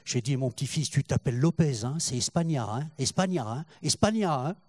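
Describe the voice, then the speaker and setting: An older man preaching a sermon in French, halting and repeating words, rising in fervor as he goes. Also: mid-range voice, lively intonation, darkish tone, no room sound. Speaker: pastor.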